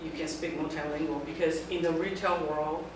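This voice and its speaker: A middle-aged woman from Taiwan giving an informal talk to a student group, speaking in halting phrases that often restart. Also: low voice, steady tone. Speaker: guest speaker. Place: lecture room. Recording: very echoey room, mic far off, background noise.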